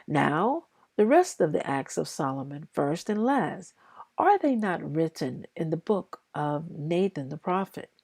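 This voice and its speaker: A woman reading scripture aloud in a deliberate, halting way, phrase by phrase. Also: sits low, big pitch swings, a little mellow, breathy edge, no echo. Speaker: scripture reader.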